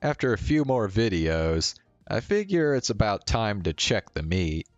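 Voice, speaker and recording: Low, slow-reading streamer in a roomy space. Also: big pitch swings, lo-fi sound. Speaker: streamer reading a visual novel aloud. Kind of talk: narrated read-aloud of a visual novel. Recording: roomy sound, faint music behind. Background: faint game music.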